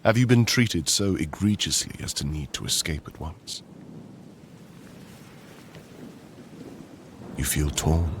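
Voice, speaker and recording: A man playing a proud, commanding and possessive conqueror king in a boyfriend roleplay audio, speaking in slow, formal, measured phrases with a deep gravelly voice. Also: slight background noise, heavily acted. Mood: probing, softening.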